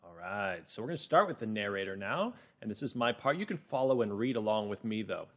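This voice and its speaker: A man's voice: lowish voice, lively intonation, brisk. A man leading a read-along lesson for children, explaining things simply and expressively.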